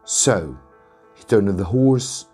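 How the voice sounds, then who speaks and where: A man narrates a story for English learners. His voice sits deep and he takes his time, pausing between short phrases. Faint music plays behind him. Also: lively intonation, warm tone. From Egypt. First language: Arabic. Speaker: English teacher reading aloud. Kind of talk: narrated storybook reading for students.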